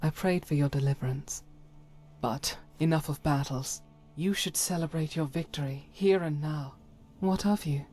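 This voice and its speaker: A woman's voice: low voice, normal speed, warm tone, husky, faint music behind. A woman playing a devout, solemn holy warrior in a fantasy game, speaking in formal, archaic and earnest phrases. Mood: warm, reverent. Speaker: voice actor for a game companion. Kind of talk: dramatic scripted fantasy game dialogue.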